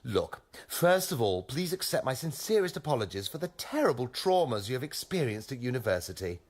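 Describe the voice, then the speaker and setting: A flustered British university lecturer in a radio comedy. The husky voice leaps about in pitch as he stammers, soothes and coaxes everyone around him. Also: sing-song, brisk. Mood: coaxing. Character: flustered, placating male university drama lecturer. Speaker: lead actor in a radio play. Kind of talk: comic radio play acting.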